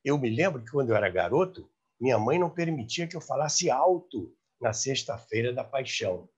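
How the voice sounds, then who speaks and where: A man in his seventies with a Brazilian accent, hosting and telling radio history to camera with emphatic, expressive delivery. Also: mid-range voice, brisk, slightly rough, highs cut off. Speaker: host. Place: room by a large window, indoors. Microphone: lapel mic.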